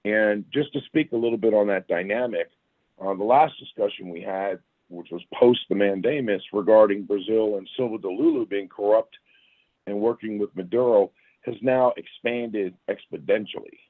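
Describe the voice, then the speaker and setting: A man with a raspy voice and a sore throat, a retired army sergeant talking as a guest in a casual interview, plainspoken with folksy asides. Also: fairly low pitch, moderate pace, no room sound. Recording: tinny phone line, dry.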